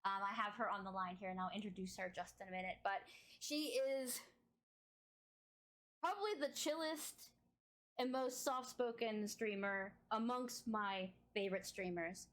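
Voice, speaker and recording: A woman hosting a casual live-streamed podcast interview. She talks in an effusive, chatty way with a big booming voice and laughs often. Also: steady tone, normal speed, fairly bright tone.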